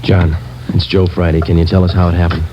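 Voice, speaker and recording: Very deep and quick, this is a man as a terse, hard-bitten police sergeant in an old radio crime drama. His lines are clipped and flat, and the dull lo-fi recording hisses clearly behind him. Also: natural voice. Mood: curt. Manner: clipped, flat, matter-of-fact. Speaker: detective narrator.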